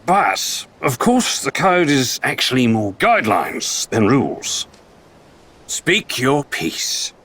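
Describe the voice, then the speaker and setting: An actor playing a sly, evasive pirate captain in a video game, with a mannered, wordy delivery. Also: male voice, mid-range voice, big pitch swings, slow pace, fairly bright tone, breathy edge, exaggerated voice. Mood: wry. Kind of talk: video game cutscene acting.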